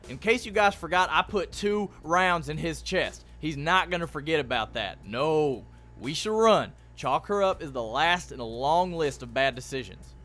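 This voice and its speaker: A man's voice: on the high side, lively intonation, moderate pace, few pauses, a bit bright. A man playing a skeptical, hard-nosed cop on the run, arguing bluntly in a radio play. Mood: argumentative. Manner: blunt, argumentative.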